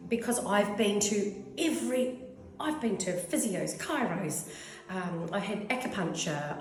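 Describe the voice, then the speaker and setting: A New Zealand woman in her fifties giving a rambling, effusive testimonial as a clinic client. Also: medium pitch. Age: 50s. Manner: rambling, effusive, goes off on tangents. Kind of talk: casual testimonial interview. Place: clinic room. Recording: very echoey room.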